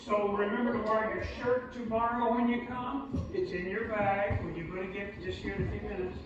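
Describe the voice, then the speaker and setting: A high-pitched male children's host leads an interactive lesson, prompting his young listeners as he goes. He sounds far from the mic in a very echoey room. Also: level tone, brisk. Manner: prompting, instructive, addresses children directly. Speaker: children's church puppet show host playing submarine captain. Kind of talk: interactive children's teaching with call and response. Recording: echoey hall from far off, muffled, noisy.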